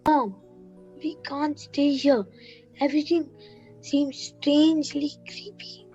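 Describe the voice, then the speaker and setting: A woman playing a frightened, suspicious child in a student radio play, anxious and deliberate. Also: sits high, fairly even intonation, dark tone, faint music behind. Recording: slightly dull, lo-fi recording.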